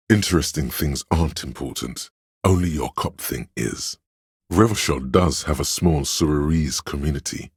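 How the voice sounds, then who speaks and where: A man voicing a pompous, erudite encyclopedia of trivia in a video game, speaking in a measured, deliberate, gravelly and husky narration. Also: extremely low pitch, no room sound, studio quality, full character voice. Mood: dry, condescending. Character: pedantic know-it-all inner voice, a walking encyclopedia of trivia.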